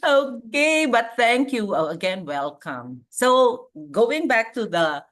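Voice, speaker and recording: A woman hosting a podcast interview over a video call, talking warmly and effusively. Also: a little high, sing-song. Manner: warm, slow, effusive with frequent laughs. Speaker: podcast host. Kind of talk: casual interview chat. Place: home in Virginia, on a video call.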